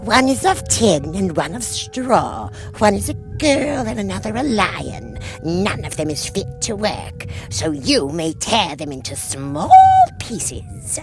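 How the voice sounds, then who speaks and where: Man putting on a slow, high, wicked witch. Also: huge pitch swings, soft background music, full character voice. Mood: cold, scornful. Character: wicked old witch, commanding and cruel. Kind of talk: audiobook character voice.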